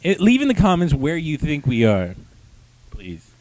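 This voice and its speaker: A man's voice: on the high side, wildly swooping pitch, moderate pace, darkish tone, poor audio. A man hosting a casual review chat with friends, joking in a crude, slangy way. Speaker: host of comic review show.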